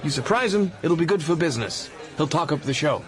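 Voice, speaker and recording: An actor playing a frank, worldly showbiz mentor with an American accent in a radio drama. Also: male voice, a little high, expressive, brisk, lightly acted. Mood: streetwise, advising. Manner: frank, streetwise, advising. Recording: busy crowd noise behind.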